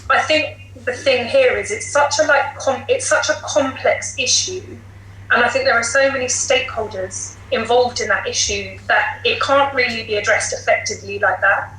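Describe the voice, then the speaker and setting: A woman's voice: a little high, bright, forceful tone. A woman with a British accent, a teacher and podcast guest, explaining her views in an articulate, emphatic way. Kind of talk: considered discussion. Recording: roomy echo, light background noise.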